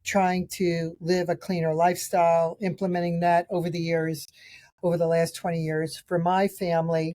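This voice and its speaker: Raspy middle-aged woman, mellow low voice. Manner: chatty, rambling, with restarts and fillers. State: raspy voice from a cold. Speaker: podcast host and nutrition practitioner. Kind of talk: casual solo podcast talk reviewing products. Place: outdoors.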